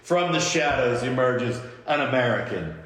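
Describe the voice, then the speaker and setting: A man's voice: mid-range voice, expressive, moderate pace, fairly bright tone. A man narrating scripted stage directions as a podcast host in an amateur table read. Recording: very echoey room, long reverb.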